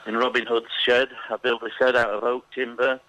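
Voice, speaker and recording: A man's voice: mid-range voice, level tone, a little fast, sharp tone, poor audio. A middle-aged man being interviewed on the radio, chatty and hesitant, with many ums. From England. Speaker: interview guest.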